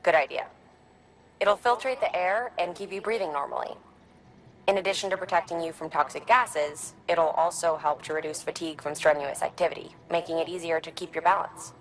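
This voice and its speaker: An actress playing a practical advisor in a video game, explaining things in a casual, dry way. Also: female voice, lowish voice, brisk, natural voice, poor audio. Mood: approving, wry. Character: practical female advisor briefing the hero.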